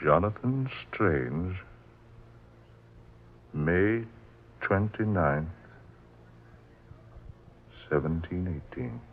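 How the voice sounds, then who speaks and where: A man's voice: low voice, expressive, a little hiss or hum, exaggerated voice. An actor playing an awed, earnest man recounting an eerie sea adventure in theatrical 1940s radio drama style. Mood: solemn reading. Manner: deliberate, theatrical, formal. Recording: muffled old radio broadcast with music.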